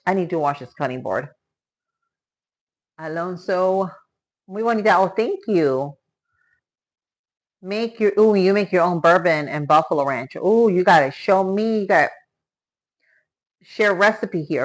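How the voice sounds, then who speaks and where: Lower-pitched woman chatting while cooking. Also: expressive, unhurried, long pauses. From born in Spain to Cuban parents, raised in Florida from age ten. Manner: chatty, exclaiming, thanking viewers as she works. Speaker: live stream host cooking at home. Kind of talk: casual chat with live viewers. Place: home kitchen.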